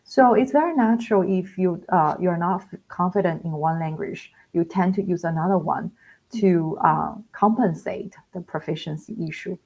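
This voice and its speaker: A woman's voice: lowish voice, moderate pace, dark tone, slightly rough, rough recording. A woman education researcher speaking as a guest in a live online interview, explaining her work with many fillers and restarts.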